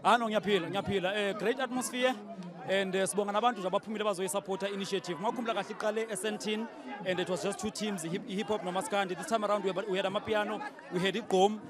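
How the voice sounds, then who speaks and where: A man talking extremely fast in a cheerful post-match interview outdoors. His voice is high, and a crowd is clearly audible behind him. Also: fairly even intonation. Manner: cheerful, mixes Zulu and English. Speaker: commentator playing in the match. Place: outdoor stadium pitchside.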